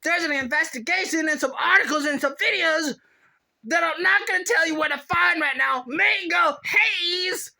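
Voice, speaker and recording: Squeaky, high-pitched agitated ranter. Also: male voice, gravelly, pushed and bright, raised voice, heavily acted. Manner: exclamatory, repetitive, drawn-out words. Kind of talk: rambling solo rant.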